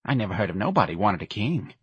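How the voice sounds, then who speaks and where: A man's voice: lowish voice, very animated, quick pace, fairly bright tone, breathy edge, no echo, muffled recording, exaggerated voice. A man voicing a plaintive, nagging older working-class mother with folksy, whining speech in an audiobook. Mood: sly, baffled.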